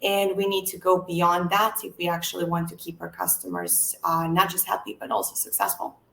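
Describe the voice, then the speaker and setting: A woman from Serbia giving a conference talk, speaking in a measured, earnest way with frequent "uh" and "um" pauses. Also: on the low side, vocal fry, fairly bright tone, echoey room. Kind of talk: presenting a business talk.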